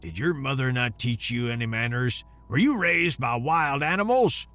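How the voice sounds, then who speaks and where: A man voicing a gruff, sarcastic old grandfather and war veteran with a gravelly voice. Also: medium pitch, lively intonation, normal speed, fairly bright tone, faint music behind, lightly acted. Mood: scolding. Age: older. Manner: sarcastic, scolding.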